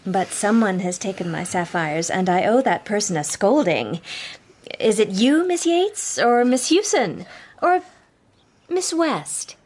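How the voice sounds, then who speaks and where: Coy, husky young lady detective. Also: mid-range voice, sing-song, a little slow, darkish tone. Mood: teasing, accusing. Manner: arch, playful, genteel. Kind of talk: period detective radio drama acting.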